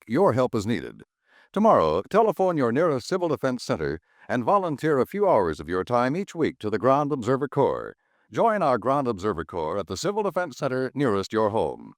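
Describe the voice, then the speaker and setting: A man's voice: medium pitch, no echo, studio quality. Formal 1950s radio announcer, man. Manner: formal, emphatic delivery.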